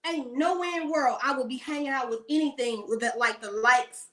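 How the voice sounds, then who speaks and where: A woman's voice: sits high, brisk, a bit bright. A woman in her late thirties giving blunt, sassy celebrity gossip commentary in a rambling rant. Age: 30s.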